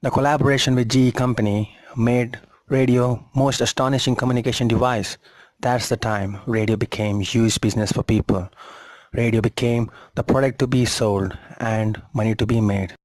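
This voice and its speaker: A man's voice: medium pitch, fairly even intonation, normal speed, a little mellow, no echo. A man reading a scripted history narration as a documentary narrator.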